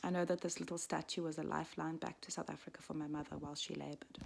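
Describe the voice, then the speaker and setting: Woman, 40s, raised in Switzerland, gravelly creaky voice, soft half-whispered storytelling. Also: low voice, little pitch movement, noisy background. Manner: soft, slow, reflective, often half-whispered. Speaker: midwife and storyteller. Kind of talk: personal story read aloud.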